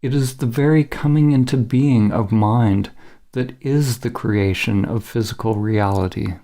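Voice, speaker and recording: A man narrating a scripted guided meditation in a measured, solemn, instructional manner as the guide. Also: mid-range voice, mellow.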